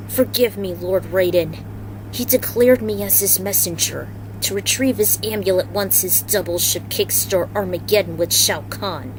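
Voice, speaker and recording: A woman's voice: on the low side, noisy background, lightly acted. A woman voicing a conflicted, brooding male ninja messenger in a solemn way in a fantasy story.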